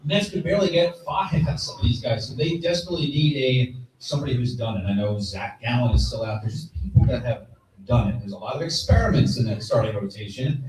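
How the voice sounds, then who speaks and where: A man's voice: mid-range voice, expressive, fast, noisy background. A man gives blunt sports opinions in short, clipped phrases. He is heard from some distance in a very reverberant live venue, speaking conversationally as part of a panel. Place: live stage at a fan convention. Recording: very echoey hall, distant mic.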